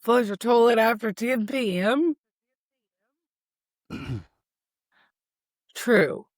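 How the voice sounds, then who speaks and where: A woman with a drawl answers true-or-false questions in an unhurried, wry way. She pokes fun at herself as she goes. Her voice sits close and dry on the mic. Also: fairly high pitch, big pitch swings, dry recording. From Kentucky, USA. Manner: unhurried, wry, self-deprecating. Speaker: singer, quiz guest. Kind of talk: casual quiz banter.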